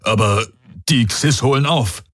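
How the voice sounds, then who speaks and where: A man's voice: mid-range voice, unhurried, fairly bright tone, breathy edge, no echo, studio quality, voice pushed up. A man voicing a male starship pilot who gives a tense report.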